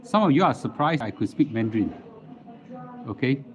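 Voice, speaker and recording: A middle-aged man with a Singaporean accent chatting casually as a livestream host, halting and repeating words as he goes. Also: medium pitch, animated, a crowd in the background. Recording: dull lo-fi live stream audio.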